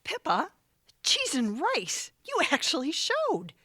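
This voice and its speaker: A woman's voice: sits high, wildly swooping pitch, slow, bright, forceful tone, dry recording, studio quality, full character voice. An actress in an audio drama playing a prim, self-righteous young mother who scolds her sibling in a prissy, emphatic way. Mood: flustered, annoyed. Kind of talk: audio theatre acting.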